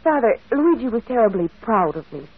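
An earnest, concerned young lady in an old radio play. She is polite, with animated intonation. The sound is tinny and narrow, like a vintage broadcast. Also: a little high, fast talker, husky. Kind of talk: old radio drama acting.

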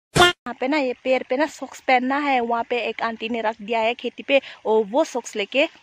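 A woman's voice: on the high side, fairly even intonation, normal speed, fairly bright tone. A woman in her thirties chatting casually and informally to viewers, often repeating herself, recorded on a phone outdoors. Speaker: village life vlogger. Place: outdoors in open rice fields and village. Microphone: phone, at arm's length or set up nearby. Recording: outdoor phone audio with light noise.

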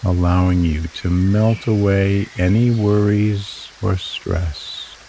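Slow, deep-voiced male meditation guide. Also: fairly even intonation, dark tone, slight background noise. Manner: slow, soothing, drawn-out phrases.

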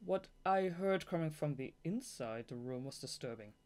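Audiobook narrator, young adult, native German speaker, medium pitch, amateur novel reading with fannish asides. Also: expressive, normal speed, darkish tone. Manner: giggly, gushing fangirl asides between read passages. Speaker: audiobook reader.

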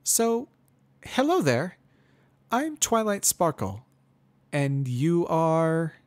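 A man puts on a young female pony student for a dramatic story. The voice is pitched far up, prim and earnest. She speaks in a questioning way with studied politeness. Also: sing-song, extremely slow, heavily acted. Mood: politely awkward. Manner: prim, questioning, forced friendliness. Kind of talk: dramatic reading of fan fiction.

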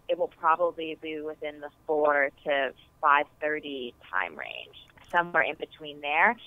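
A woman's voice: deep voice, dry recording. Woman on a tinny phone line. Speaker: client planning a wedding. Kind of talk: casual phone conversation. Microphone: phone call.

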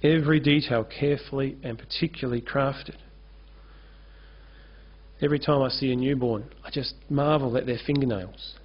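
A man's voice: medium pitch, steady tone, normal speed. A man, preaching an earnest sermon at a lectern microphone on a church stage. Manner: earnest, gestures freely while preaching at a lectern. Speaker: pastor. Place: church stage with curtain backdrop. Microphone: lectern-mounted microphone. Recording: dull lo-fi sermon recording.

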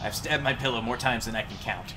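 A man's voice: medium pitch, a little fast, background noise. A man bantering casually over a video game, sweary and complaining as he plays. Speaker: amateur voice actor in a game playthrough.

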